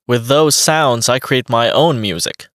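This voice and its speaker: A man's voice: mid-range voice, a little slow, fairly bright tone, no room sound, studio quality, close to an everyday voice. A man playing a friendly young beatboxer, answering interview questions in a plain, evenly paced way for a textbook dialogue. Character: friendly young male beatboxer on a radio show.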